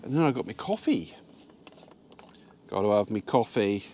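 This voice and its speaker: A man's voice: lowish voice, sing-song, moderate pace, background noise. A man chatting casually about his day while parked in his truck cab, rambling with fillers and restarts. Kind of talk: casual vlog chat.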